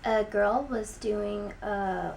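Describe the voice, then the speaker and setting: A woman's voice: medium pitch, fairly even intonation, drawn-out words, a little mellow. Emphatic young American woman, noisy recording. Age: young adult. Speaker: video blogger. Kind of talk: opinion vlog to camera. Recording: clear background noise, room ambience.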